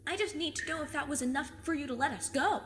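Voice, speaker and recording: Casting: woman for plucky teen girl, high, bright, emphatic, defensive, audio drama with cavernous reverb. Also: fast talker. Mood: defensive, flustered. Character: plucky, stubborn fifteen-year-old girl with truth-sensing curse. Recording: long cave reverb, faint noise.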